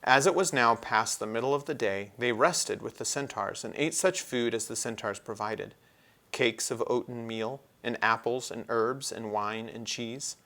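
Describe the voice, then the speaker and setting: A man's voice: medium pitch, moderate pace, bright, forceful tone. A man narrating a children's storybook as a read-aloud. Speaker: storybook reader.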